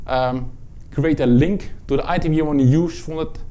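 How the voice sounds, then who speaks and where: A man from the Netherlands with a Dutch accent, presenting a technical product talk at a conference, halting, with many fillers and restarts. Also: fairly high pitch, warm tone. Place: conference room with audience.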